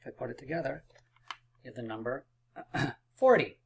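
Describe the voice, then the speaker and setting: A man teaching a math lesson for children, upbeat and encouraging, a little hoarse and clearing his throat. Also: medium pitch, very animated, moderate pace, slight background noise. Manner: upbeat and encouraging, playful drum rolls and counting aloud. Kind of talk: math lesson video for kids.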